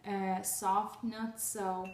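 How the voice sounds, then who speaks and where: A woman's voice: mid-range voice, level tone, drawn-out words, a little hiss or hum. A young woman from Russia demonstrates a recipe in her home kitchen, chatting casually with lots of fillers and restarts. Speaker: cooking vlogger. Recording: echoey kitchen, voice far from mic.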